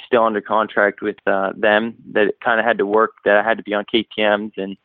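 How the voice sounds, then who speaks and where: Young canadian man, low voice, flat delivery, tinny dry phone line, relaxed, lots of uh and you know. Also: brisk, dry recording. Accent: Canadian, slightly worn toward American. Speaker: guest, pro motocross racer. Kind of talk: phone interview.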